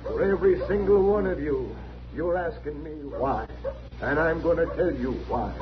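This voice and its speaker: An actor with an American accent playing a grandiose, folksy medicine-show salesman declaiming his pitch in a radio western. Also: animated, normal speed, lightly acted. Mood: grand, persuasive. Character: bombastic traveling medicine-show huckster selling tonic. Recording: dull old radio recording, crowd noise.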